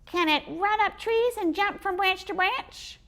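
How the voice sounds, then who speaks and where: A woman doing Squizzy, a squeaky angry squirrel. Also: a little slow. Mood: indignant. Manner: indignant, shouting.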